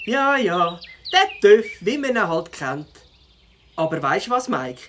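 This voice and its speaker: A woman's voice: medium pitch, big pitch swings, unhurried, fairly bright tone, a little hiss or hum. A woman voicing a level-headed, sceptical young beaver boy in a cartoon. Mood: easygoing. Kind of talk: cartoon character acting.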